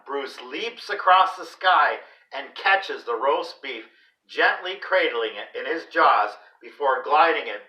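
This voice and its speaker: A man reading a children's story aloud in an expressive, deliberate, dramatic way. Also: fairly high pitch. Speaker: read-aloud host for a school class. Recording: roomy echo, distant microphone.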